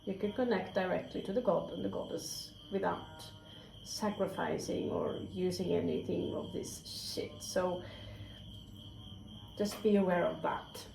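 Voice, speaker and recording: A woman's voice: on the low side, steady tone, measured pace, vocal fry, darkish tone, a little hiss or hum. A woman giving a casual tarot reading, candid and chatty, swearing freely and dropping into whispered asides. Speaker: tarot reader. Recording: roomy echo, faint crickets.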